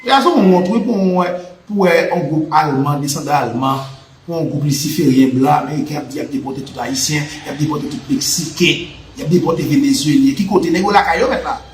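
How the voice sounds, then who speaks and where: A man's voice: a little high, brisk, echoey room, slight background noise, rough recording. A Haitian man with a Haitian Creole accent, hosting a live political and history talk with a fervent, repetitive, preaching cadence. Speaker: channel host.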